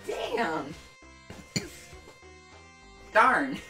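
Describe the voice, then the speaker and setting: A woman's voice: sits low, big pitch swings, drawn-out words, bright, forceful tone, roomy sound, soft background music. A woman co-host chatting casually with short reactions to a story being read.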